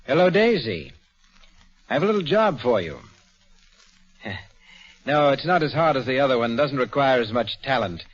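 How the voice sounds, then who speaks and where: A dull, old radio recording of a smooth male villain. His mid-pitched voice is mannered and polite, a cold killer behind a servant's mild evasions. The delivery is classic radio drama. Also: big pitch swings. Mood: businesslike. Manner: measured menace under a mild, evasive politeness.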